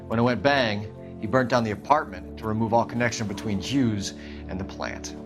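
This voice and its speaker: An actor playing a terse, matter-of-fact police detective in a dramatic video game, reporting in a clipped, factual way. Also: male voice, lowish voice, moderate pace, natural voice. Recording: background music behind the voice.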